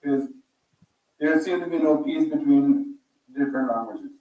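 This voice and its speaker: A man's voice: on the high side, lively intonation, normal speed. Man, audience member, searching questions, thin phone-like sound, distant echo.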